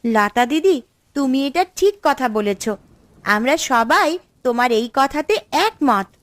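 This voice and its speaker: A young cartoon village sister with a high voice. She sounds eager and deferential, and the sound is very dry. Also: animated, unhurried, no room sound. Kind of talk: Bengali cartoon story acting.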